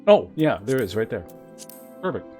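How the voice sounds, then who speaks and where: A man's voice: medium pitch, big pitch swings, normal speed, a little mellow. A man streaming a game, chatting casually in a wry, rambling, conversational way as he comments. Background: game music.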